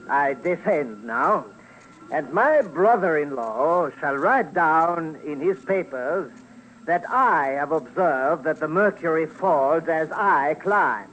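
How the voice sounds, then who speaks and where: A wry, patient Frenchman, fairly high for a man and very unhurried. His radio drama lines come through a dull old recording. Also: animated, fairly bright tone, a little hiss or hum. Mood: patient, indulgent. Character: patient, wry French brother-in-law climbing mountains.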